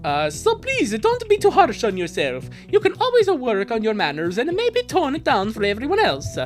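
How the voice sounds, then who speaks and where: A man's voice: extremely high pitch, huge pitch swings, normal speed, faint music behind, pitched above the performer's own voice, exaggerated voice. A man voicing an earnest, sensitive young child in a heartfelt, pleading way for a fan dub. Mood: tender reassurance.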